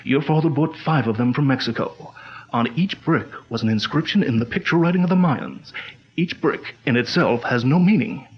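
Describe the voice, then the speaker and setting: An actor playing a calm, commanding male crime-fighter chief in a 1940s-style radio drama, speaking in a measured, steady way. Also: medium pitch, slightly rough, close to an everyday voice. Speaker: lead actor. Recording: dull lo-fi old radio recording.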